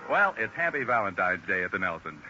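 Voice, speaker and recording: A man's voice: sits low, very animated, fairly quick, bright, forceful tone, faint music behind. An American man announcing a 1940s radio commercial with a polished salesman's delivery, on an old broadcast recording. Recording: muffled 1940s radio recording, faint noise.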